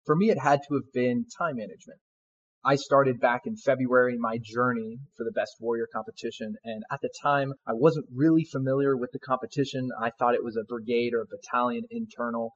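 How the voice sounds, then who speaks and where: A man's voice: mid-range voice, fairly even intonation, a little fast. A man in his twenties, a soldier guest answering interview questions in an earnest, polite way. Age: 20s. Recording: dull lo-fi sound.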